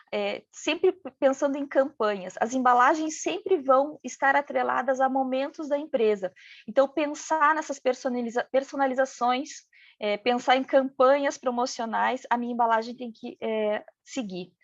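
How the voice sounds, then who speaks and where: A woman with a regional Brazilian accent teaches a class, speaking as a business owner and tagging her points with "né?". Her voice sits a little high, and the dry recording sounds slightly dull and lo-fi. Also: moderate pace, no echo. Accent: regional Brazilian Portuguese. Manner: talks in first person as a business owner, many "né" tags. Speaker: packaging design instructor. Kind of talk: online training lecture.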